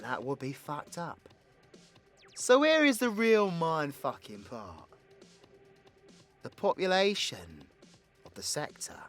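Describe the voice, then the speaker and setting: Guy doing a young british supervillain for audio roleplay, cocky n sweary, teasing but fond, takes his time, pitch all over the place. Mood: speculating, animated, then excited, revealing. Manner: theatrical, swearing, swinging from hushed confiding to loud outbursts. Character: cocky, foul-mouthed young male supervillain, teasing and affectionate. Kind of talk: intimate audio roleplay monologue.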